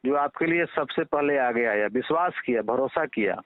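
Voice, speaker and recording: A man's voice: medium pitch, moderate pace, no room sound. A man with a Bihari accent in a casual phone conversation, speaking in a conciliatory way and repeating himself for emphasis. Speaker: father of a child at the centre of a public appeal. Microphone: phone call. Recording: tinny, narrow-band phone line recording.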